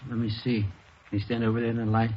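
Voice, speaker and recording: A man playing a frail elderly widower in an old radio drama, speaking slowly, mildly and hesitantly. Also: mid-range voice, little pitch movement, a little hiss or hum, natural voice. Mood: mild, weary. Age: older. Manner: slow, mild, hesitant. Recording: dull old radio recording.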